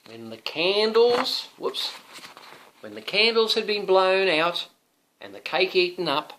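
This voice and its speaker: A man reading a picture book to his young son, expressive, with coaxing asides to the child. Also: on the high side, a little hiss or hum, low-quality recording. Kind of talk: animated storybook read-aloud.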